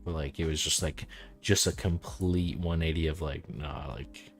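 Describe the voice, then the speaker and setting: A man in his twenties with a deep, calm voice, chatting casually on a stream and rambling with lots of "like". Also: moderate pace, faint music behind. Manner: laid-back, rambling, heavy use of "like". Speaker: game developer streaming.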